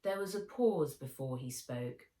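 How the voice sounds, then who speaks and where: A woman reading a children's adventure story aloud with dramatic, deliberate delivery. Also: a bass voice, expressive, mellow. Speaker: author reading her own book aloud. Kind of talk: expressive children's audiobook narration. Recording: roomy echo, distant from mic.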